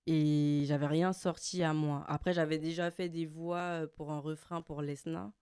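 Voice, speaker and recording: A woman in her twenties from French Guiana, a singer chatting casually in an interview, relaxed and often laughing. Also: low voice, normal speed, clean tone, no echo, studio quality. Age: 20s. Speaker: guest singer.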